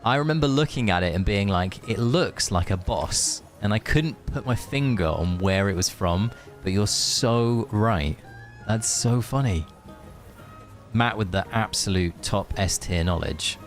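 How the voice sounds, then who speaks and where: A man's voice: lowish voice, animated, unhurried. A man streaming a game, chatting casually and rambling in friendly asides to his viewers. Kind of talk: casual live gaming stream chat. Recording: music behind the voice.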